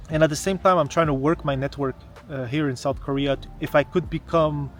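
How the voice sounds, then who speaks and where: A man from Canada speaks casually to his viewers in a slightly high voice. He explains things earnestly and with emphasis, asking rhetorical questions as he goes. Faint outdoor city noise and vehicles can be heard behind him. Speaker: vlogger, history content creator. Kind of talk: casual explanatory vlog to camera.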